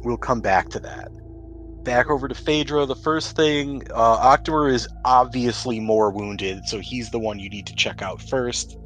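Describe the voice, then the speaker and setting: A man's voice: mid-range voice, moderate pace, fairly bright tone, a little hiss or hum, low-quality recording. A man speaking as game master, narrating a tabletop roleplaying game in a conversational way.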